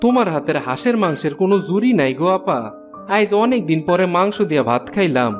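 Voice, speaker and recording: High-pitched humble village fisherman, acted by a man. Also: sing-song, quick pace, music in the background. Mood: earnest, homely. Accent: Bangladeshi colloquial Bengali. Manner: plain rustic speech. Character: poor, humble village fisherman, devoted husband. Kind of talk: cartoon folk-tale dialogue.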